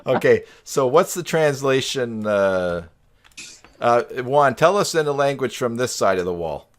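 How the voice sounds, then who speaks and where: A man's voice: mid-range voice, expressive, unhurried. A man hosting a casual video call chat, joking and teasing as he talks. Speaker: live stream host.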